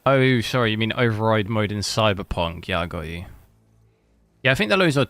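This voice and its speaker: A man streaming a game, chatting casually with rambling, halting restarts. His voice is bright and forceful, set a little low. The sound is dry and close. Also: expressive, no room sound. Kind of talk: casual live stream chat.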